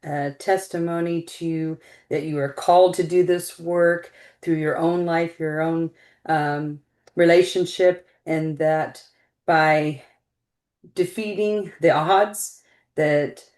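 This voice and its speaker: Woman interview host, lowish register, echoey room sound. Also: fairly even intonation, takes it slowly, darkish tone. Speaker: show host. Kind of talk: hosting an interview. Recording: roomy, echoing sound.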